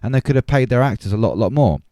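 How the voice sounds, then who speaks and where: A man's voice: medium pitch, animated, quick pace, dark tone, no room sound, clean studio sound. A man hosting a podcast, arguing his points emphatically in a conversational film debate. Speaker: podcast host.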